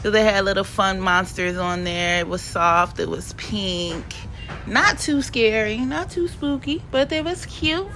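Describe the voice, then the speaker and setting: A woman's voice: medium pitch, a little slow, sharp tone, slight background noise. Stuffy-voiced chatty woman vlogger. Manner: chatty, playful, slangy. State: stuffy and sniffling. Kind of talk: casual shopping vlog voiceover. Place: home, recorded voiceover.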